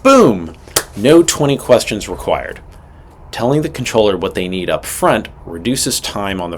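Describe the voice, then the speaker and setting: Low-pitched man giving direct scripted instruction. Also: slight background noise. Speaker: instructor presenting a pilot training video.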